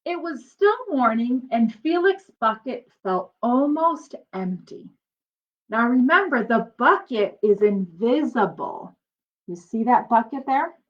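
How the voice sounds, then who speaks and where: A woman's voice: on the high side, sing-song, slow, dull audio. A woman reading a picture book aloud like a teacher, expressively and with simple explanatory asides for young children.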